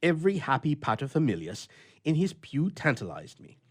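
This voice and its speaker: A man's voice: fairly high pitch, huge pitch swings, normal speed, pitched above the performer's own voice. A man voicing an irritable, lonely bachelor in a storybook reading, with emphatic, indignant, old-fashioned oratory. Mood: wistful, aggrieved.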